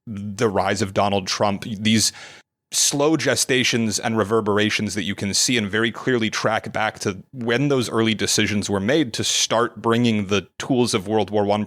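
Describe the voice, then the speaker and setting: A man speaking as a podcast guest, earnest and measured, often repeating words as he goes. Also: fairly low pitch, a bit bright, no echo. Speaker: podcast guest, creative director. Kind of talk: casual podcast interview.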